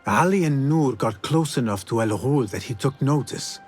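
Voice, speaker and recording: A man performs a young rebel assassin in a video game cutscene. His medium-pitched voice is restrained and deliberate. He comes across as humble, cautious and quietly resolute. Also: animated, soft background music, natural voice. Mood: calm, resolute.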